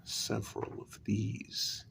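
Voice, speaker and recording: A man playing a vampire queen's devoted, aging human consort in a soft-spoken ASMR role-play, speaking slowly and deliberately in a breathy half-whisper. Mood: tender, resigned. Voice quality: breathy, creaky. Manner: slow, deliberate, half-whispered, with lingering pauses.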